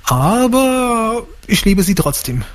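A man with a high voice answers a radio host's questions. He keeps his replies short and relaxed and laughs easily. He grew up bilingual. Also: takes it slowly. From Germany. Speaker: guest. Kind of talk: radio interview.

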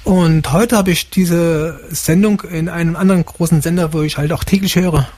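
A man raised bilingual, a guest on a radio show, answering in a relaxed way with short replies and easy laughs. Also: a little high, normal speed. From Germany. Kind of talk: radio interview.

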